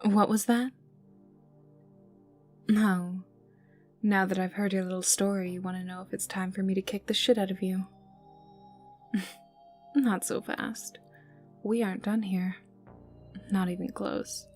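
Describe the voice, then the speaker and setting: Woman playing slow, menacing villain Vi, ASMR roleplay. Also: medium pitch, level tone, darkish tone, natural voice. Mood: curious, teasing. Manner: slow, taunting, breathy dropping to whispers. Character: menacing teasing young female enforcer for a crime boss. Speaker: voice actor in audio roleplay. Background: ambient music and mild sound effects.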